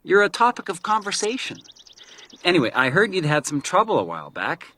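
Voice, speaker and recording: A man's voice: high-pitched, huge pitch swings, fairly quick, bright, forceful tone, heavily acted. A man acting a younger, talkative hiker in a radio drama, nervy, probing and insistent.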